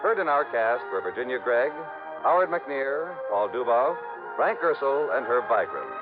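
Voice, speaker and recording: A man's voice: medium pitch, very animated, moderate pace. A man with an American accent announcing in a scripted 1950s radio style. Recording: dull vintage radio recording, loud music.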